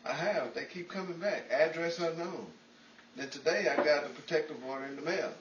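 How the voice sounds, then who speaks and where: A man playing a hapless, excitable character in a 1940s-style radio comedy, rambling and self-justifying. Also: on the high side, a little fast, far from the mic, close to an everyday voice. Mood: glum. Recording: dull, roomy, off-mic with faint noise.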